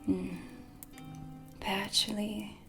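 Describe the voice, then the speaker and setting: A woman playing a proud, mysterious dark witch with cool menace, whispering slowly and theatrically in an ASMR roleplay. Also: mid-range voice, little pitch movement. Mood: curious, appraising. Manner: slow, breathy, theatrical, deliberate whispering. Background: dark ambient music.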